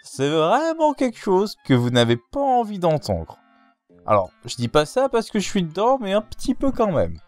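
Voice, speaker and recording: A man casually answering viewer questions in an animated, joking way. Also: high-pitched, normal speed, dry recording, faint music behind. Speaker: fandub group member.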